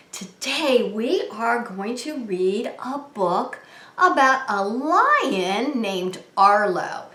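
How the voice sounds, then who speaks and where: A woman's voice: fairly high pitch, fairly bright tone. A woman hosting a preschool story time, talking to young children in a sing-song way with simple questions. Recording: roomy, echoey room sound.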